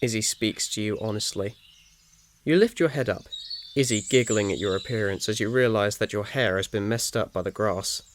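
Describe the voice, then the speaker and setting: Casting: male ASMR storyteller, lower register, calm measured scripted narration over faint outdoor ambience. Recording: faint noise, nature ambience behind. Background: birdsong, insects, nature ambience.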